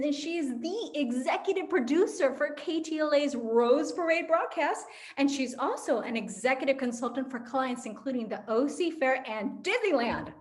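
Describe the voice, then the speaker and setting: A woman's voice: high-pitched, darkish tone. A woman with an American accent hosting an online panel and introducing guests in a theatrical, emphatic way. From Los Angeles, United States. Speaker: moderator of a panel event. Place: online video call.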